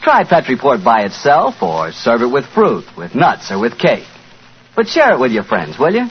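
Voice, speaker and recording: Husky, lively American radio announcer, man. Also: mid-range voice, moderate pace. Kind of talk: 1940s radio sponsor pitch, folksy and conversational. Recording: muffled 1940s radio recording.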